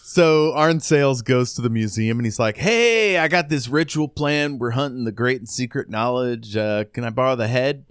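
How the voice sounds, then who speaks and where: A man's voice: mid-range voice, normal speed, hardly any pauses, clean tone, no echo, clean studio sound. A man co-hosting a podcast, informally recapping and quoting in a casual book discussion. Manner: informal, conversational. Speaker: podcast co-host.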